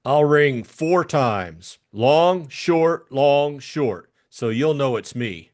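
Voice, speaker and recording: A man's voice: medium pitch, expressive, extremely slow, a little mellow. Man playing a blunt hard-boiled detective. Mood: brisk, demanding. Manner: blunt, impatient. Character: hard-boiled, irritable male private detective, gruff and commanding. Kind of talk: audiobook drama acting.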